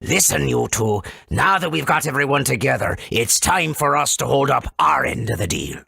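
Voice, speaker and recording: A man voicing an excitable, gruff little boss of small creatures in a video game, raspy and creaky. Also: medium pitch, moderate pace, no echo, clean studio sound, heavily acted. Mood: scheming. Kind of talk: video game character acting.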